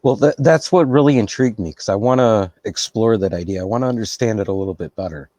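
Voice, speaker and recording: Relaxed male interview host, low-pitched, dry close-mic sound. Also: quick pace, dry recording. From United States. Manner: relaxed, laughs easily, reflective. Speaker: podcast host. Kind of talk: casual interview.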